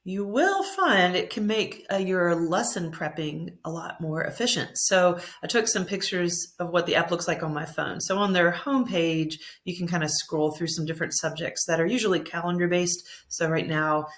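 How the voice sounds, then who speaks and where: A woman's voice: on the low side, normal speed, few pauses, fairly bright tone. A woman speaking as a teacher, giving a conversational, encouraging tutorial presentation. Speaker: teacher presenting a reading app.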